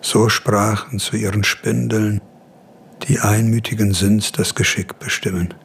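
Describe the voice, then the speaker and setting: A man acting a dying old philosopher in a radio play, faint and nearly toneless, in halting phrases. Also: fairly low pitch, level tone, a little hiss or hum. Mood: faint, solemn. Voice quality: faint, breathy, almost toneless. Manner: halting, laboriously slow speech.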